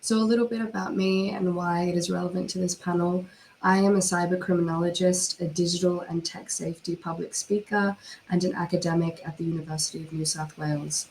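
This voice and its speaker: A hoarse woman with a cold, giving a formal prepared talk over a video call from home at night. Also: fairly low pitch, steady tone, a little mellow, a little hiss or hum, rough recording. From Australia. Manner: measured, formal, with occasional fillers. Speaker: panel speaker, cyber criminologist. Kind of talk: formal prepared online presentation.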